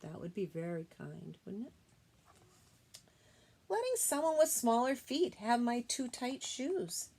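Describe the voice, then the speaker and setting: A woman teacher reading a children's picture book aloud expressively, pausing to explain things gently and simply to young listeners. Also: fairly high pitch, big pitch swings, slightly rough.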